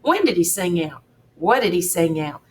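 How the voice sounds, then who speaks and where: A woman voicing a bold, scheming boy who speaks calmly, plays puzzled and then turns stern. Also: lowish voice, normal speed, far from the mic, slight background noise. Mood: cool. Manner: calm, mock-puzzled, stern.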